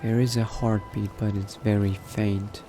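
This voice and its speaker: A man playing a cautious, brooding newly turned vampire, thinking aloud in hushed, halting phrases in a video game roleplay. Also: fairly low pitch, little pitch movement, music in the background, lightly acted. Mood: tense, urgent. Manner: hushed, halting self-talk with sighs and pauses. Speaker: roleplay gamer. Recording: noticeable background noise.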